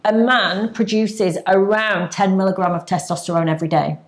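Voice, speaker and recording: A woman's voice: mid-range voice, steady tone, normal speed. A woman co-hosting a casual podcast, talking earnestly and giving advice. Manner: earnest, advising. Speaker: co-host.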